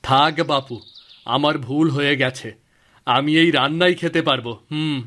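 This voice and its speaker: A man's voice: on the high side, normal speed, pushed and bright, soft background music. A man voicing a practical, good-natured village husband in scripted cartoon dialogue. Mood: teasing, placating. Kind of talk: scripted cartoon dialogue in a Bengali folk tale.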